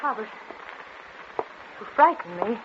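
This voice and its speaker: An actress playing an anxious, emotional wife pleading in 1940s radio melodrama style. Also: female voice, fairly high pitch, lively intonation, slow. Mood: anxious, defensive. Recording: muffled, hissy vintage 1940s radio recording.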